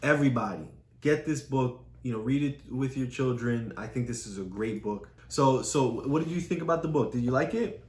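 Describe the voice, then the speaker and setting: A woman's voice: very deep voice, moderate pace, darkish tone. A woman talking through a picture book with a child in a patient, explaining way, often repeating key phrases. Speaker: parent reading with a child. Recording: echoey room.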